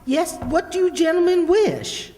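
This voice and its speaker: A woman's voice: very high-pitched, a little slow, dark tone, faint music behind. A woman playing a prim, wisecracking office receptionist with dry wit in an old-time radio comedy. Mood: prim, wry. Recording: echoey hall, long reverb.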